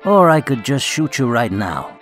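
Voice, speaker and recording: A man's voice: mid-range voice, big pitch swings, moderate pace, over music, natural voice. A man playing a composed, menacing mob boss in a radio drama, talking with cool condescension. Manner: condescending, coolly controlled.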